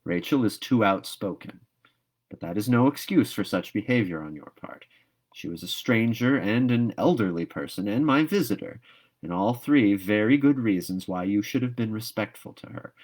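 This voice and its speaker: A man's voice: fairly low pitch, expressive, darkish tone. Man reading as a dry, stern middle-aged woman. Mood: firm, softened. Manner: dry, measured, admonishing. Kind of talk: storybook read-aloud character voice.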